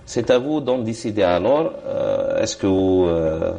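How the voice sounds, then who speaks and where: Gravelly low-voiced man giving religious advice. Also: lively intonation, a little slow, dark tone. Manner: hesitant, many fillers, quotes Arabic formulas. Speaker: religious scholar answering questions. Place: TV studio.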